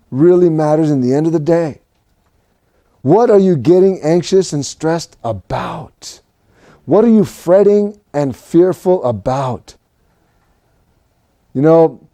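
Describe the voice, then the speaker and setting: A man from Mexico preaching a sermon for an online service, animated and rising to shouts at his peaks. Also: high voice. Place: home recording for a virtual service.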